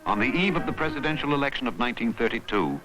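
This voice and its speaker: A man narrating a historical documentary in a solemn, dramatic, measured newsreel style. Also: mid-range voice, sing-song, poor audio. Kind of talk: scripted historical documentary narration, mid-century newsreel manner. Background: music.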